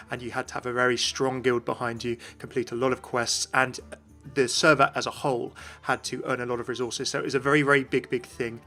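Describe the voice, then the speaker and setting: A man's voice: medium pitch, pushed and bright. A man hosting an explanatory video about game lore, with music behind him.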